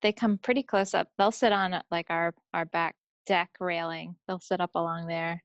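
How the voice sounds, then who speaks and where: A woman's voice: lowish voice, steady tone, normal speed, no room sound, dull audio. A woman co-hosting a podcast, telling everyday stories in casual, chatty style. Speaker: podcast co-host. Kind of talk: casual chat.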